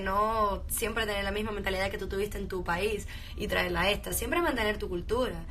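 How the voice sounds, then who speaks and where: Chatty young woman, cuban spanish accent, bright voice, faint music behind. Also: medium pitch. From Venezuela. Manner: chatty, laughs often, many fillers and restarts. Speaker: actress answering fan questions. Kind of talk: casual chat, switching between Spanish and English.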